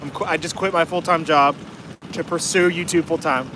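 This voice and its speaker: Young man with a higher voice on a lake. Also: fairly even intonation, normal speed. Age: young adult. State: losing his voice. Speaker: host. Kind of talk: casual live-stream chat. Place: small inflatable boat on a lake, outdoors. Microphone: phone camera held at arm's length. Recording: wind and water noise outdoors.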